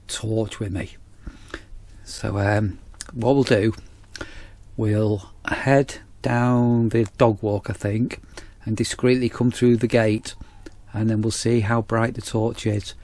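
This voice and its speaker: A man's voice: fairly low pitch, level tone, takes it slowly, a little mellow, dry recording. A man in a campsite warden role, chatting casually outdoors as he reviews a torch on an action camera. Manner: chatty, rambling, repeats "as I say". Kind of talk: casual product review vlog.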